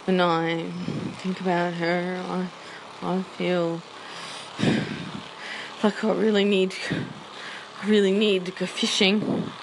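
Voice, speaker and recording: A woman's voice: on the low side, level tone, slow, pauses often, background noise. A woman playing a hesitant, bumbling Australian caller who stammers and rambles through a comic message. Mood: awkward, hesitant. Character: hesitant, bumbling Aussie caller Gaza, awkwardly smitten.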